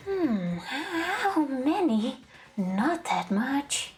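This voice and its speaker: A young woman from the Netherlands, a native Dutch speaker, co-hosts a video game stream in English. She has a high voice and jokes with her co-host in quick, giggly banter. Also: very animated. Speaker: let's play co-host. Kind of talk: casual gaming stream chat.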